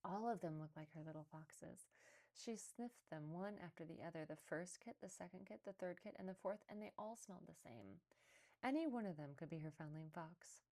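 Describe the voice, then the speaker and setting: Woman reading a kids story out loud, deeper voice, kinda warm and mellow. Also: animated, moderate pace. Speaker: storybook reader. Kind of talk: read-aloud children's story narration.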